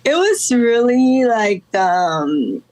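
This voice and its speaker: A woman from Guatemala, a guest artist, talking casually in an interview with many fillers and soft laughs. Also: fairly high pitch, sing-song, drawn-out words. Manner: rambling, lots of fillers and laughs.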